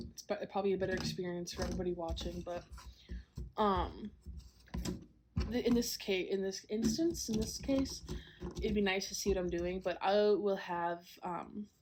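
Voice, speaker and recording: A congested young woman chats on her own podcast while sorting puzzle pieces, her talk loose and rambling, packed with "like" and "um." Her pitch sits in an ordinary middle range. A steady hiss of noise runs behind her home recording. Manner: rambling, full of "like" and "um", with small laughs. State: congested, clearing her throat. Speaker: podcast host. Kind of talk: casual solo chat while doing a jigsaw puzzle. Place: a home, downstairs.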